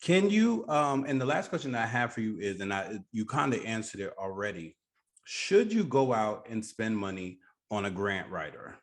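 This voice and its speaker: A man's voice: fairly low pitch, sing-song, moderate pace. A man hosting a podcast, interviewing his guest in a casual, conversational style. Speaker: podcast host.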